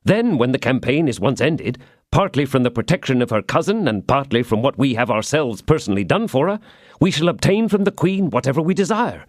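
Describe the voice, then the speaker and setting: A man's voice: medium pitch, brisk, no room sound, clean studio sound, natural voice. A man voicing an impulsive, eager young male swordsman in an audiobook, talking in a raised, urgent voice. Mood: confident. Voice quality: excited, urgent.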